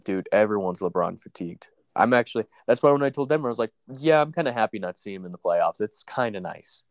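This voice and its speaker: A relaxed young man with a congested, stuffy nose talks sports with a friend in a casual, easygoing way. His voice sits a little low, and the recording is dry with no room echo. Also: fast. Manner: laid-back, says "dude" and "bro" a lot. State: stuffy from allergies.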